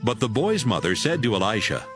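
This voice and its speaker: A man's voice: lowish voice, big pitch swings, fairly quick, over music. A man narrating a dramatized scripture audiobook in a scripted storytelling style.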